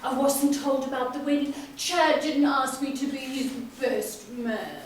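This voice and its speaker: Woman acting scornful high-voiced wife, distant and echoey. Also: steady tone, unhurried. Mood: mocking. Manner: sneering, cutting, mocking. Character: scornful, domineering wife and hostile sister-in-law. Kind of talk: amateur stage play acting. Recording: echoey room from far off, faint noise.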